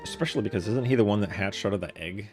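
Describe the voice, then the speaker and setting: A man with a low voice streams his playthrough. He comments casually and slangily in a laid-back manner, with game music clearly audible behind him. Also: rapid-fire.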